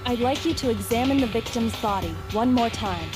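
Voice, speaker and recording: A woman voicing a composed, confident teenage girl detective in a video game, calm and deliberate. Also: fairly high pitch, over music, lightly acted.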